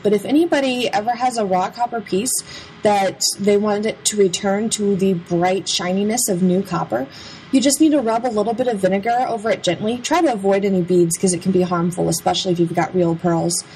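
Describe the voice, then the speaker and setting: A woman's voice: mid-range voice, fairly quick, darkish tone, slight background noise, low-quality recording. A young adult woman giving a casual, chatty, playful voice-over about a craft process. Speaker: craft vlogger.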